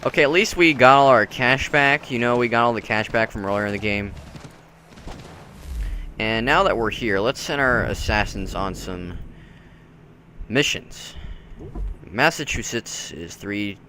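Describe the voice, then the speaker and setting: A man with a fairly low but bright, forward voice gives casual walkthrough commentary on a video game. There is faint noise and game ambience behind him. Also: a little slow, pauses often.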